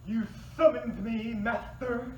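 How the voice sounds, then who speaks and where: A man's voice: very high voice, fairly even intonation, slow pace. An actor in a staged play reading voicing a sinister, domineering serpent spirit who speaks like a stern, pompous father god. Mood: imperious. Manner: pompous, commanding. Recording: echoey room, distant mic, faint noise.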